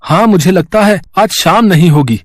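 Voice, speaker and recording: A man voicing a bossy, complaining village farmer in an animated moral story. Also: high-pitched, moderate pace, no echo, clean studio sound. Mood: grumbling.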